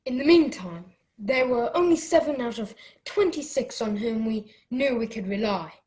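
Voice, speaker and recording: Lively high-voiced boy narrator played by a woman. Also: normal speed, close to an everyday voice. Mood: narrating. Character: excitable boy adventurer telling his tale. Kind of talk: radio drama narration.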